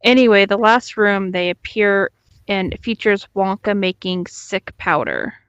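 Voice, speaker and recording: A woman's voice: mid-range voice, unhurried, a bit bright, smooth, dry recording, dull audio. Woman reading aloud, close dry sound. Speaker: co-host reading stories aloud. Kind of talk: read-along narration.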